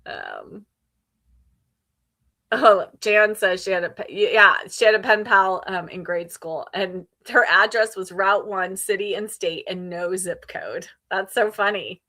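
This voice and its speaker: Bright-voiced woman chatting on a livestream. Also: medium pitch, big pitch swings, unhurried. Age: 50s. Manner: conversational, reads out and responds to viewer comments. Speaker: livestream host. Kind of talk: casual livestream book chat.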